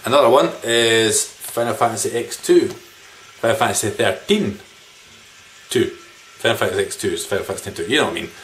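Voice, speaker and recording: Low-voiced man chatting casually, noisy room. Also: fairly quick, a bit bright. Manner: rambling, informal, mildly sweary. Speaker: video game collector vlogger. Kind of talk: casual chat showing game pickups to camera. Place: small living room indoors. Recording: background noise, room ambience.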